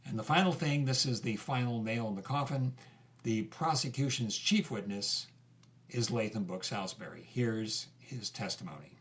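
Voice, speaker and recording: An actor playing an engaged investigator telling a story in a conversational, podcast-like narration. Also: male voice, medium pitch, moderate pace, background noise, natural voice. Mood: intrigued.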